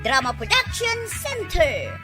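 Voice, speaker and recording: Slow super high pitched filipino radio announcer, loud music behind. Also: wildly swooping pitch. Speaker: radio drama narrator and announcer. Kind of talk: animated scripted radio drama narration.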